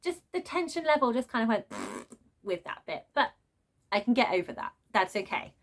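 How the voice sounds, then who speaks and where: A woman vlogger talking off the cuff in an emphatic, expressive, informal way, like a book reviewer ranting to viewers. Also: fairly high pitch, moderate pace. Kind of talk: unscripted opinionated rant to viewers.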